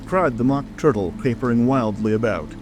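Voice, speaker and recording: A man's voice: medium pitch, fairly quick. A man narrating a storybook audiobook in a scripted reading style. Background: rain ambience and music.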